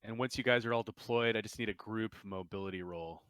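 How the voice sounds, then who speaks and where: Brief: male game master, lowish smooth voice, informal explanatory narration of a tabletop session. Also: a little fast, fairly bright tone, no room sound.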